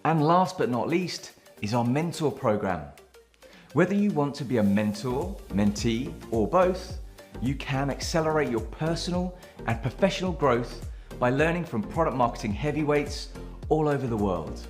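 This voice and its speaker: A man's voice: medium pitch, animated, moderate pace, soft background music. A man reading a scripted membership promotion. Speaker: promo announcer.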